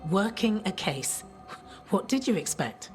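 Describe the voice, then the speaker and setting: A woman playing a brisk, duty-minded templar investigator in a fantasy game, curt and matter-of-fact. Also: mid-range voice, sing-song. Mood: curt, concerned. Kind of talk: cinematic fantasy game dialogue. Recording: music behind the voice.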